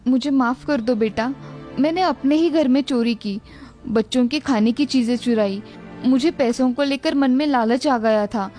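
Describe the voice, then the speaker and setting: A woman's voice: high-pitched, moderate pace, dark tone, soft background music, lightly acted. A woman voicing a greedy old mother-in-law in a cartoon family story. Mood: remorseful.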